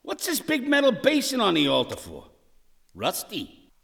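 A man's voice: high-pitched, wildly swooping pitch, normal speed, vocal fry, a bit bright, husky, heavily acted. A man playing a superstitious, blustery police sergeant in a radio drama, grumbling and exclaiming in earthy slang. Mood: uneasy, grumbling.

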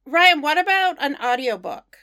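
A woman's voice: high voice, big pitch swings, normal speed, bright, forceful tone, no echo, studio quality. A woman co-hosting a casual interview, friendly and full of suggestions. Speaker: co-host.

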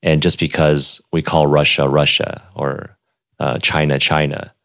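A man who hosts a relaxed interview, affirming often and asking open questions. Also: extremely low pitch, measured pace, gravelly, no echo, studio quality. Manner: relaxed, affirming, with frequent backchannels. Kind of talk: casual conversational interview.

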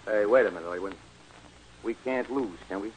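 A man's voice: on the low side, moderate pace, natural voice. A man playing a pushy, streetwise racetrack gambler who bosses his gang around in a 1940s radio comedy. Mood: suspicious. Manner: brash, bossy, streetwise. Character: pushy, fast-scheming male racetrack gambler, gang leader. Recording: noisy old radio broadcast recording.

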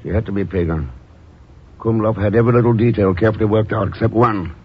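An actor playing a calm, dryly sardonic espionage hero with a husky voice in a 1950s radio drama. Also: male voice, fairly low pitch, fast talker. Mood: cool, assured. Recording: muffled old radio recording.

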